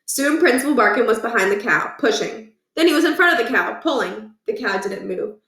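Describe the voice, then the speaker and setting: A woman reading a children's book aloud in an animated storytelling style. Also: a little high, fairly quick, creaky, reverberant, far from the mic.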